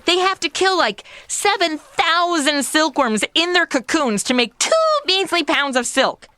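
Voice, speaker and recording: A woman's voice: sits high, measured pace, pushed and bright, dry recording. A woman playing a chatty, oblivious, sassy modern young woman in a comedy audio drama, with flippant, slangy delivery. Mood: smug, righteous.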